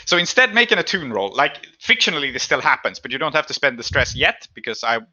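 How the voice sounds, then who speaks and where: A man's voice: mid-range voice, very animated, fast, pushed and bright, lo-fi sound. A man acting as game master in a tabletop roleplaying game, explaining rulings and narrating in a conversational way.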